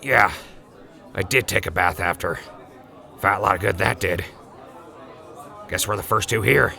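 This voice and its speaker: A laid-back, wisecracking wasteland drifter with a low, gravelly voice. The tone is very bright and forward, and he has a wry, joking way of talking. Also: measured pace, a faint crowd, full character voice. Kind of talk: radio drama acting.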